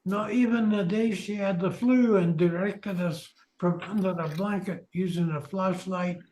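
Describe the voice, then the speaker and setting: A man's voice: sits high, steady tone, unhurried, a little mellow, poor audio. An older man playing a good-humored retired Scottish physician in an amateur radio comedy. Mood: wry.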